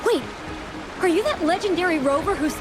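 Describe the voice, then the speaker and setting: A woman playing an inquisitive, excitable young townsperson in a video game. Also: very high-pitched, brisk. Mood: inquisitive, excited. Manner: inquisitive, surprised. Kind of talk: game character dialogue. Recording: loud background music under the voice.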